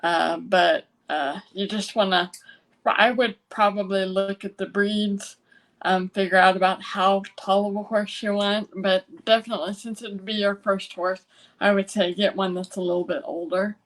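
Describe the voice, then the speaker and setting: A woman with an American accent speaking conversationally as an interview guest, halting often to gather her thoughts. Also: medium pitch, steady tone, low-quality recording. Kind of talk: conversational personal storytelling.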